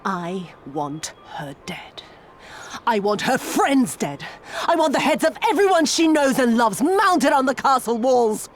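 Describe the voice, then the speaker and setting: Vengeful noble lady, cruel and icy, higher voice, light score. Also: big pitch swings, a little slow, faint music behind. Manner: cold, cruel.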